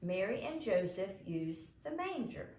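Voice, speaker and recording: A woman playing a patient, unhurried mother. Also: medium pitch, big pitch swings, darkish tone, echoey room, distant mic, slight background noise. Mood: firm. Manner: slow, explanatory, patient. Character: patient mother explaining to unruly children, gently exasperated.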